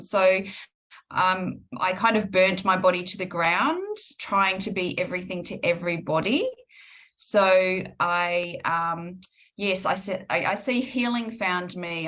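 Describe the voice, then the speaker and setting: A woman's voice: medium pitch, fairly bright tone. A middle-aged woman with an Australian accent, answering interview questions in a reflective way with frequent ums. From Perth, Western Australia. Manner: reflective, with many ums and restarts. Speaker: guest healing practitioner.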